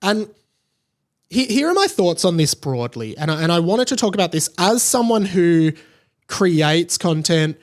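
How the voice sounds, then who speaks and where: Higher-voiced man, casual podcast host. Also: sing-song, a little slow. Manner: conversational, thinking aloud, emphatic repetition. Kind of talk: casual solo podcast commentary.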